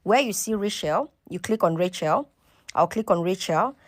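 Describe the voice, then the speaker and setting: A woman's voice: fairly low pitch, expressive, moderate pace. A woman hosting a casual step-by-step tutorial, talking instructionally and often checking in with "okay" and "right". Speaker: tutorial host.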